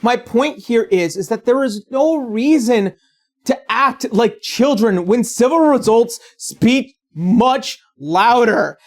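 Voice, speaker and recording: A woman's voice: a little high, animated, takes it slowly, darkish tone. A woman delivering scripted, opinionated commentary as a video essayist, in a sarcastic, blunt and profane manner.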